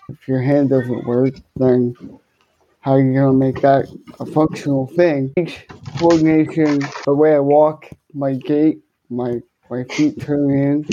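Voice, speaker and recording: A man with a speech impairment that slurs his words, answering interview questions conversationally as a guest musician, in a deliberate way with repeated words. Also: fairly high pitch, warm tone, lo-fi sound, slight background noise. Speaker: podcast guest, guitarist and musician.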